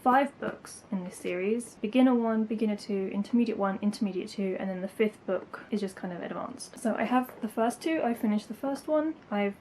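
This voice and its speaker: A young woman chats casually to her camera about her studies, her talk full of likes and ums. Her voice sits at an ordinary middle pitch, recorded at home with a faint hiss underneath. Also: a little mellow, poor audio. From England. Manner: chatty, lots of likes and ums, light laughs. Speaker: language-learning YouTuber.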